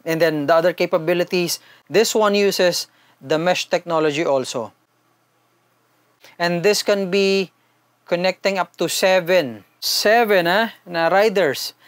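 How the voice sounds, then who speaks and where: Man, Filipino accent, slightly high-pitched voice, dry close sound, informal vlogger, chatty unboxing delivery, switches between languages. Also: takes it slowly, smooth, no room sound. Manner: conversational, addresses viewers informally. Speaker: vlogger, product reviewer. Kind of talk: casual unboxing and product review, switching between two languages.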